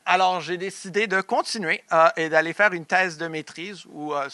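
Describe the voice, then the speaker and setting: A man's voice: high-pitched, normal speed, bright, forceful tone, no echo. A young man from Montreal with a Québécois French accent gives an academic conference presentation, often hesitating and restarting his sentences. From Montreal, Quebec, Canada. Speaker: doctoral researcher, conference speaker.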